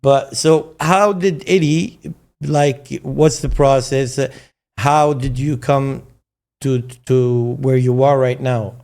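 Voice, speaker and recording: A man's voice: mid-range voice, steady tone, slow, vocal fry, darkish tone. A man in his forties whose first language is Arabic, hosting a casual interview in English, often stammering and restarting his words. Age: 40s. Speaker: streamer and host.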